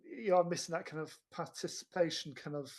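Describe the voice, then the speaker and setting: A man's voice: a little high, fairly quick, darkish tone. A man chatting casually as a podcast host about films, with a hesitant delivery full of repeated restarts. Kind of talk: casual chat reviewing films.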